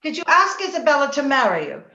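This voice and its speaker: A woman's voice: sits high, sing-song, pushed and bright, exaggerated voice. An actress playing an anguished, jealous, haughty young married lady in an old-time radio drama, with a melodramatic delivery. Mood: pleading, distraught. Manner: melodramatic, halting when upset. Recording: roomy, slightly dull remote-call sound.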